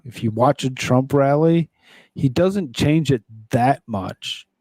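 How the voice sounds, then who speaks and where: A man's voice: medium pitch, warm tone, dry recording, rough recording. A man hosting a political talk show, reading news items aloud and commenting on them in a rambling, conversational way.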